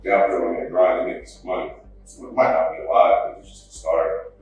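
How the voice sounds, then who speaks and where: A man from Palestine giving a heated, rambling statement in court, full of slang, his voice jumping up in pitch. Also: steady tone, quick pace. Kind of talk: emotional victim impact statement in court. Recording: echoey courtroom from a distance, music underneath.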